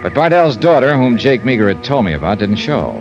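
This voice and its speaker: A dull vintage radio recording with music features a man playing a hard-boiled American detective. His lowish voice moves with lively inflection. He sounds shrewd and breezy, in classic radio drama style. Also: a little fast, close to an everyday voice. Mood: brisk, watchful. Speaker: lead actor and narrator. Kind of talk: 1950s-style radio detective narration and acting.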